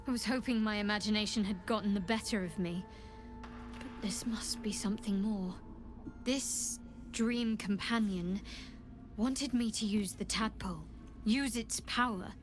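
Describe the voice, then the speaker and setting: A woman playing a guarded, troubled young female cleric in a video game, speaking in a measured, wary, hesitant manner. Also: medium pitch, music in the background, natural voice. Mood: uneasy. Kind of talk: video game character dialogue.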